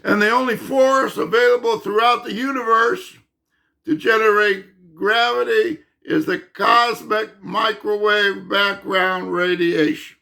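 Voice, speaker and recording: A man explaining physics in an unscripted monologue, insistent and emphatic, halting with fillers and restarts, and losing his voice. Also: sits high, steady tone, vocal fry, bright, forceful tone. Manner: insistent, emphatic, repeats himself. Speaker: channel host explaining physics.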